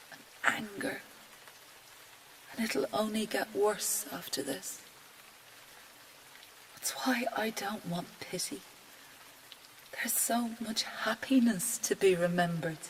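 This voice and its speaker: An actress playing a grieving mother in an audio drama monologue, wistful, quietly stoic and often hushed. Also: mid-range voice, a little hiss or hum, close to an everyday voice, low-quality recording. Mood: resigned sadness. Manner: slow, reflective, often hushed to breathy near-whisper. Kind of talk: intimate audio-play monologue.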